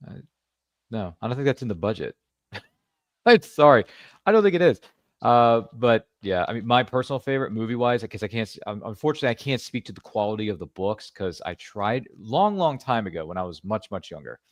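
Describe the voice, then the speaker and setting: A man's voice: fairly low pitch, very animated, brisk, no echo, clean studio sound. A man hosting a podcast interview, chatty and rambling, with jokey asides. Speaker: podcast host. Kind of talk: casual interview chat.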